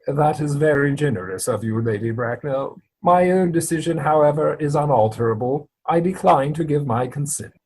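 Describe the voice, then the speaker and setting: A man playing a stubborn, indignant English gentleman guardian in a comic play reading, speaking formally and emphatically. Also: a little high, moderate pace, breathy edge, rough recording. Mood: unyielding.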